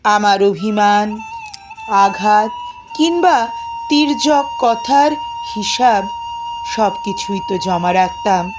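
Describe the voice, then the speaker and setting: Slow, plaintive woman reciting poetry over music. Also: medium pitch, expressive. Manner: plaintive, emotive, with drawn-out pauses. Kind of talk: expressive dramatic poetry recitation.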